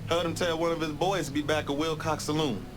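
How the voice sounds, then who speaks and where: A man's voice: a little high, fairly even intonation, fast talker, lightly acted. A young boxer with a loose, streetwise way of talking , played by an actor. His delivery is laid-back and slangy. Faint ambient noise sits in the background. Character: streetwise young male boxer under pressure.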